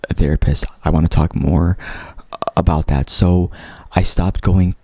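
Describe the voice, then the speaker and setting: A man's voice: deep voice, steady tone, a little slow, creaky, dark tone, no echo. A young man with a stutter, earnestly telling his own story to his viewers, repeating sounds and words as he goes. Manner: earnest, open. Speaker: vlogger. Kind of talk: personal storytelling to camera.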